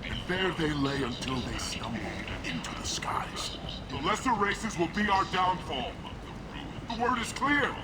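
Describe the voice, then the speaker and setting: An actor voicing a fanatical alien doomsday preacher in a video game, declaiming with zeal. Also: male voice, sits high, lively intonation, measured pace, loud music behind the voice. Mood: zealous contempt. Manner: declamatory, zealous. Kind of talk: fiery street preaching. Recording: distant, very noisy.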